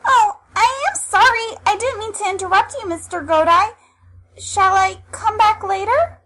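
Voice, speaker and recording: A woman playing a polite, sweet high-school girl, speaking earnestly in an audio drama. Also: measured pace, sharp tone, full character voice, rough recording. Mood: apologetic. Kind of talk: fan audio drama acting. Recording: clear background noise, clicks.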